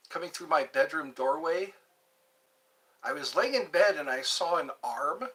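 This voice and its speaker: A man's voice: fairly high pitch, bright, forceful tone, husky. A man in his fifties hosting a rambling livestream, chatting to viewers and breaking into snatches of old pop songs. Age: 50s. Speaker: livestream host. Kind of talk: rambling livestream chat with singing.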